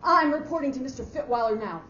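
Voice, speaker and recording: A woman's voice: high-pitched, animated, quick pace, pushed and bright. A woman playing a brash, haughty and indignant office efficiency expert in a staged dramatic reading of a short story. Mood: furious, accusing. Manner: haughty, sarcastic, emphatic. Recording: roomy, muffled live hall recording with hiss.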